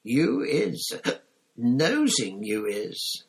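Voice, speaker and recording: A man voicing a drunk, stubborn female house elf with a squeaky voice and broken grammar. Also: wildly swooping pitch, very slow, gravelly, husky, exaggerated voice. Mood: mutinous. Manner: broken grammar, slurred and mutinous.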